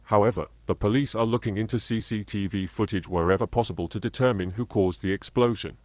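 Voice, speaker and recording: A man reading a scripted emergency broadcast announcement in a formal, measured manner, as a continuity announcer. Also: on the low side, fairly even intonation, no echo.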